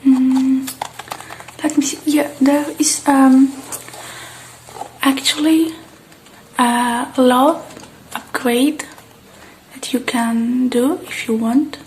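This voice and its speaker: A woman playing a gentle, polite repair technician in a soft-spoken ASMR roleplay, murmuring and sometimes whispering in an unhurried way. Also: sits high, fairly even intonation, a little hiss or hum, heavily acted, low-quality recording. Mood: polite, helpful. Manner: soft, unhurried, murmuring to herself while working.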